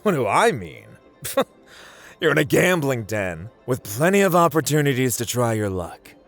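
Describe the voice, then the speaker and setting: A man's voice: big pitch swings, a little slow, soft background music. A man playing a playful, haughty god of luck in an ASMR roleplay, theatrical and teasing, drawling his words as he addresses the listener. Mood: amused, intrigued. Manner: theatrical, teasing, drawling, swinging between hushed and booming.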